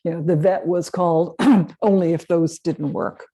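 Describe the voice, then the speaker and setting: A woman with a fairly low, mellow voice gives a history talk and answers questions from a live audience. She speaks conversationally and keeps affirming listeners with quick "yeahs". She often breaks off and restarts her sentences. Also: steady tone, slightly rough. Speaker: presenter of a local history talk. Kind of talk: lecture and audience Q&A. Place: meeting room with a live audience.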